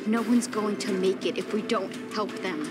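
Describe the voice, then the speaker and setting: A woman's voice: medium pitch, fairly even intonation, normal speed, loud music behind the voice, natural voice. An actress playing an idealistic, stubborn young woman survivor in a video game, earnest and principled. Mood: determined, pleading. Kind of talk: video game cutscene acting.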